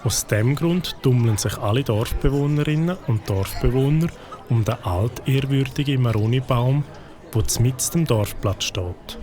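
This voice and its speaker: A man with a Swiss German accent giving calm, scripted narration for a children's audio story. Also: mid-range voice, moderate pace, a faint crowd.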